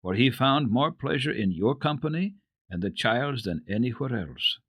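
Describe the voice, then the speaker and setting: Guy as a generous storybook gentleman, hearty and emphatic, voice rises and falls a lot, dry recording. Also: mid-range voice, lively intonation, normal speed, breathy edge, no room sound, voice pushed up. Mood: heartfelt, assuring. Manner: effusive, emphatic promising. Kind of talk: dramatic reading of children's classic.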